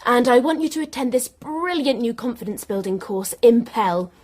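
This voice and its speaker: A patronising, falsely cheery benefits adviser in a radio play. Her voice is fairly high and briskly upbeat, with official condescension. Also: expressive.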